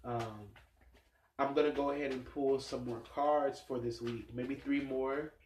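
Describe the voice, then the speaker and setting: A stuffed-up man reads tarot cards in a loose, rambling way, thinking aloud with plenty of fillers. His voice sits at an ordinary middle pitch. The room around him gives it a noticeable echo. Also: steady tone, a little slow, darkish tone, slight background noise. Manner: musing, rambling, many fillers. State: stuffed-up nose. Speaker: tarot reader. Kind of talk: casual tarot reading vlog. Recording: echoey room sound.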